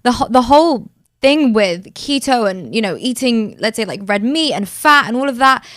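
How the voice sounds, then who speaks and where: A woman interviews a guest on her podcast, sounding eager and upbeat. Her voice sits fairly high, and the sound is dry and close, with no room echo. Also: expressive, normal speed, fairly bright tone. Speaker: podcast host. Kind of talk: casual interview.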